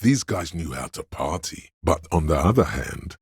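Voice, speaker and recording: Very deep, husky hedonist tempter. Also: male voice, very animated, normal speed, no echo, clean studio sound, exaggerated voice. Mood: coaxing, indulgent. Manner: sly, insinuating, theatrical, goading. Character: inner voice of addiction, a gleeful, seductive hedonist tempter. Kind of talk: video game character voice lines.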